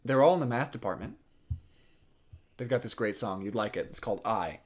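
A man's voice: fairly low pitch, lively intonation, a little fast, a little hiss or hum, close to an everyday voice. Man acting a teasing young math geek. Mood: wry, friendly. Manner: conversational, teasing. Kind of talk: contemporary stage monologue.

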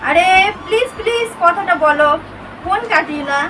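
Woman, very high voice, coaxing and pleading, flirty phone-chat style, music behind. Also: lively intonation, normal speed. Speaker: vlogger making a prank call. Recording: distant mic with music behind.

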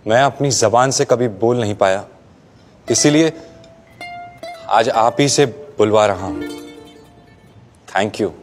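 An actor playing a young groom, speaking a slow, heartfelt and gently teasing wedding tribute in a scripted ad monologue. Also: male voice, lowish voice. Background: faint background music.

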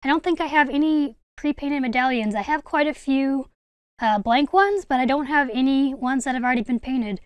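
A woman's voice: high voice, moderate pace, dry recording. A young adult woman, hosting a casual hobby haul vlog in an enthusiastic, gushing way. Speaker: video host, hobby collector.

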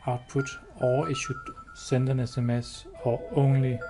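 A man giving a hands-on product demo indoors, explaining step by step in a halting, matter-of-fact way. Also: mid-range voice, flat delivery, music in the background. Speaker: product demonstrator. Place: indoors, showroom with wall-mounted devices. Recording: clear background noise.

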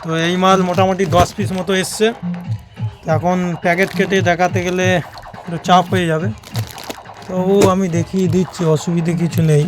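A man's voice: high-pitched, flat delivery, brisk, over music. A man with a West Bengal Bengali accent giving casual, repetitive sales patter to viewers in an unboxing vlog. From West Bengal, India. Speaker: audio equipment seller and channel host.

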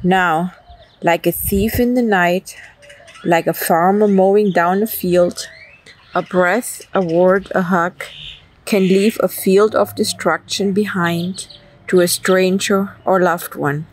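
A woman poet reciting her own work in a measured way, pausing between short lines. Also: lowish voice, fairly even intonation, slight background noise, rough recording. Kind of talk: poetry recitation.

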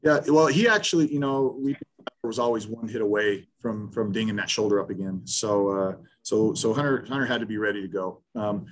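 Football head coach, 50s, low voice, blunt, stumbling restarts, video-call mic. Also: male voice, poor audio. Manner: blunt, halting, with many restarts and fillers. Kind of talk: post-game press conference. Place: small room in front of a sponsor backdrop.

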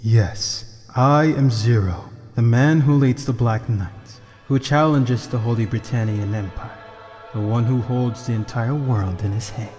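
A man's voice: mid-range voice, unhurried, lightly acted. Man playing an arrogant young rebel leader, echoing reverb. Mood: coldly proud. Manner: grandiose, theatrical. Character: arrogant, cunning young masked rebel leader, desperate underneath. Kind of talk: anime fan dub acting. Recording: long reverb over loud music.

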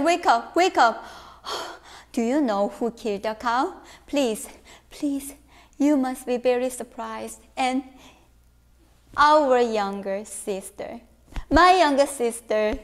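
A woman's voice: sits high, slow pace. A woman voicing a desperate young son from a folktale, urgent and pleading.

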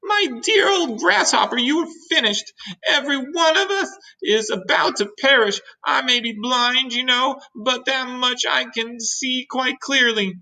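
A gloomy blind earthworm played by a woman reading a storybook, pitched high and swooping wildly. He drags out his words in melodramatic moans, forever sure of doom. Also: expressive, bright, forceful tone, exaggerated voice, poor audio. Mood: scornful gloom.

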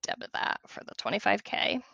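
A woman demonstrating software, walking through each step in a conversational tutorial style. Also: brisk, no room sound, dull audio.

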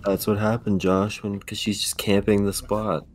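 A man's voice: fairly low pitch, little pitch movement, moderate pace. A young man playing a horror game with friends, flustered and unsure where to go. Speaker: co-op player. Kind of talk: casual co-op gameplay chat.

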